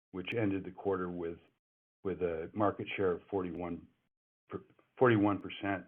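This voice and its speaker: A man's voice: sits low, slightly rough. A man, a company executive, reading prepared earnings call remarks, with frequent restarts and self-corrections. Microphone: telephone. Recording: phone-line conference call audio.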